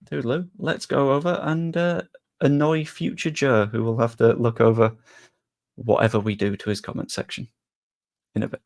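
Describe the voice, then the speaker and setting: English guy, young, relaxed streamer chatter, slangy and casual, gravelly edge to the voice. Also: medium pitch, moderate pace. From England. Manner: chatty and easygoing, with frequent fillers and British slang. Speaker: live streamer. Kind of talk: casual live stream chat, reading and answering viewer questions.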